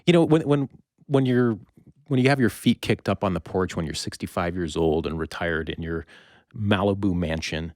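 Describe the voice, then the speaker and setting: Deep-voiced man hosting a casual interview. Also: a little mellow, dry recording, clean studio sound. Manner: halting, rephrases questions as they go. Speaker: podcast host interviewing a guest.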